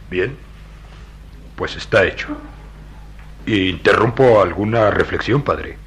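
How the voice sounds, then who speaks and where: An actor playing an indignant, skeptical police inspector in a radio drama, deliberate and theatrical. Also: male voice, on the low side, a bit bright, slight background noise, full character voice. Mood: dry. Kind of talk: Latin American radio drama acting.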